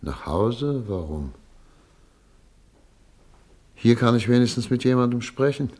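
A man playing a weary older exiled doctor in a radio play, speaking with quiet, deep resolve. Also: lively intonation, slow pace. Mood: lonely, warm. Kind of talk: 1950s radio play acting.

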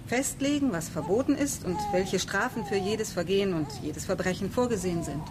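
A woman's voice: mid-range voice, a little fast, very noisy, lightly acted. An actress playing a resolute woman leading a space colony in a radio play, insistent and earnest in standard German.

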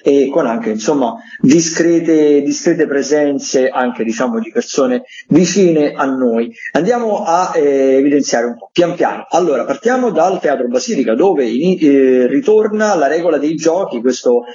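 Mellow, higher-voiced man, muffled. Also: animated, measured pace, few pauses. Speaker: theatre critic, radio contributor. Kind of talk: informal radio listings round-up.

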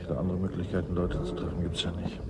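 An actor playing a world-weary, guarded scientist in a 1980s German radio drama, gruff and dryly ironic. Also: male voice, low voice, monotone, rapid-fire, noisy background, natural voice.

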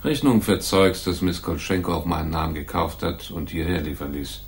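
A man's voice: low-pitched, close to an everyday voice. An actor playing a big, tough, shady man in a noir radio play, skeptical and sardonic as he bargains. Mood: businesslike. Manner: sardonic, wary, deliberate. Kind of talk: 1980s German radio play, noir dialogue.

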